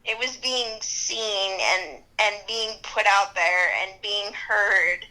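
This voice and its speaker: A young woman, a musician and activist, talking bluntly and casually in an interview, with quick laughs. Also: mid-range voice, pushed and bright, poor audio. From New Jersey and New York area.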